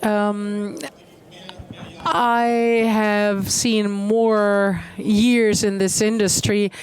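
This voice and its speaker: A woman interpreting a conference keynote, measured and pausing often with hesitation fillers. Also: mid-range voice, little pitch movement, rough recording.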